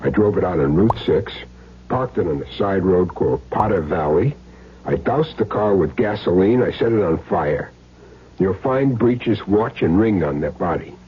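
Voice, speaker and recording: A low, swooping American male voice as a cagey hired gun making his confession. He talks in plain street slang, earnest and self-justifying. It sounds like an old radio crime play, dull and noisy with hum. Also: animated, normal speed. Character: cagey small-time hired gun confessing, insisting he's clean. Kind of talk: old-time radio crime drama acting.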